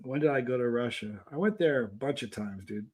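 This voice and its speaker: A middle-aged man hosting a live stream, chatting casually and telling anecdotes in an animated way, acting out the things people said. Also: medium pitch, brisk. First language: English. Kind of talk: casual chat answering live viewers, telling travel anecdotes.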